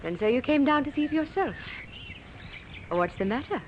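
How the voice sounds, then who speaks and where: A woman's voice: high-pitched, lively intonation, a little fast. An actress playing a defiant, reclusive young woman artist in a 1950s radio drama. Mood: cold, defiant. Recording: muffled, noisy old 1950s radio recording.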